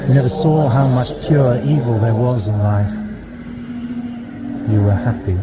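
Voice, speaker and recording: An actor playing a sombre, reproachful phantom mentor in an audio drama, speaking in a measured, lecturing way. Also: male voice, medium pitch, heavy background noise, close to an everyday voice. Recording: dull lo-fi audio, loud music behind.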